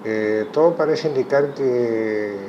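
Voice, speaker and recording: Cuban man, measured and hesitant. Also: mid-range voice. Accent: Cuban Spanish. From Cuba. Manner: measured, thoughtful, many hesitations. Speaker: chess coach. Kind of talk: reflective interview answers. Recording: muffled audio with clear background noise.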